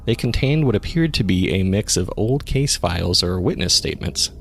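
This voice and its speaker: A man's voice: low-pitched, expressive, normal speed, vocal fry, a bit bright, a little hiss or hum, exaggerated voice. A man narrating a scripted horror audio drama in the first person, as someone sifting through mysterious old case files. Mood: measured narration.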